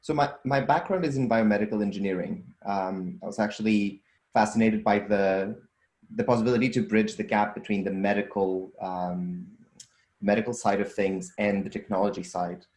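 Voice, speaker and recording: Portuguese guy, fairly low voice, thinks out loud with lots of ums, roomy echo. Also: fairly even intonation, moderate pace. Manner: thoughtful, with frequent ums and restarts. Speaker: guest, data scientist and entrepreneur. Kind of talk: casual interview answers.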